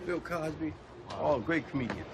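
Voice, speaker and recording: A man's voice: on the high side, expressive, normal speed, noisy background. A man who owns a barbershop, chatting in a friendly, talkative way and calling people "man". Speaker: barbershop owner. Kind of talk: casual street chat.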